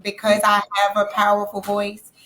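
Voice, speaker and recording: A woman's voice: mid-range voice, normal speed, slight background noise, low-quality recording. Emphatic woman singer talking about her craft. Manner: animated, emphatic, repeats phrases for effect. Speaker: singer guest. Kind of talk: casual interview talk.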